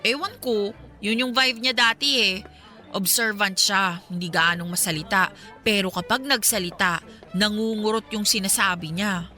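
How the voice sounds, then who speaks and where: A woman's voice: medium pitch, moderate pace, sharp tone, faint music behind, natural voice. An actress with a Filipino accent playing a chatty, teasing young woman, a best friend, in a radio drama. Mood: friendly, frank.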